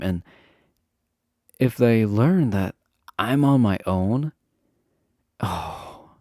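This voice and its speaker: Casting: male actor as popular college guy, chatty and teasing, easygoing flirt, unhurried delivery with lively pitch swings, romantic audio roleplay. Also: no echo, studio quality. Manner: chatty, teasing, hesitant filler-laden casual phrasing. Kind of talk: romantic roleplay audio acting, casual intimate chat.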